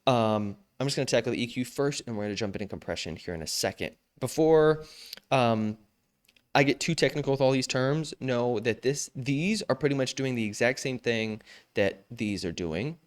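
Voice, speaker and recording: Young male music tutor, clear resonant voice, laid-back slangy delivery. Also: mid-range voice, moderate pace. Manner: relaxed, slangy, self-joking. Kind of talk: casual screen-recorded mixing tutorial.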